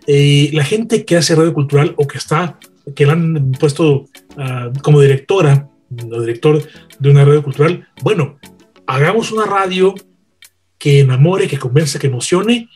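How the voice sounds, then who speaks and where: A man with a Mexican accent giving his opinion as a radio panel guest, emphatic and deliberate, building rhetorical lists. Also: on the high side, a little mellow, breathy edge.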